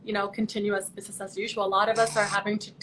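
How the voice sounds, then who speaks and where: A woman's voice: medium pitch, little pitch movement, rapid-fire, fairly bright tone, slight background noise, low-quality recording. A young woman from Canada, a pageant contestant, chatting earnestly and thoughtfully over a video call. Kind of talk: casual video call chat.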